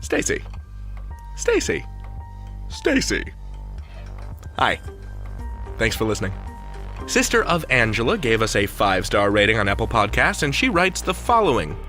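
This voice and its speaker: A male podcast host with a forceful, bright voice and very animated intonation. He presents in a playful, conversational way, tossing out rhetorical asides. Soft music sits underneath. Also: mid-range voice, a little slow, pauses often. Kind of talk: chatty, comic podcast presenting.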